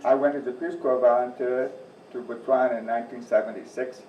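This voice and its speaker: A man in his sixties speaks with a softened Midwestern accent, plain and folksy, slipping in wry asides. He sounds a bit off the mic in an echoey room. The recording is dull and muffled. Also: medium pitch, steady tone, brisk, recorded from a distance, a little hiss or hum. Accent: softened Midwestern American. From Northeast Iowa, USA. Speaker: agriculture teacher and farm advisor. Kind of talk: presentation to a church audience.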